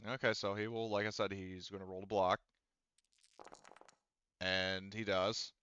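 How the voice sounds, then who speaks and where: A man with a low, smooth voice works as game master, talking players through rules and scenes in a casual, halting way. The audio is dry and a little muffled. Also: little pitch movement, bright, forceful tone, no echo. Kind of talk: casual tabletop game narration.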